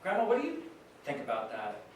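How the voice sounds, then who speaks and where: Anxious, earnest grandson male actor, slightly high voice, halting confessional stage monologue, recorded in a very reverberant hall. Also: wildly swooping pitch. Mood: nervous. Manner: halting, repeats himself. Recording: very echoey hall, distant mic, noisy.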